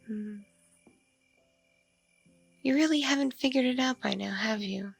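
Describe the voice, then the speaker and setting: A woman playing a seductive, hungry mature vampire mother in an intimate ASMR roleplay, teasing and condescending, breathy and slipping into whispers. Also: fairly high pitch, dry recording, soft background music, full character voice. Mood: incredulous, teasing. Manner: slow, teasing, hesitant hums and pauses.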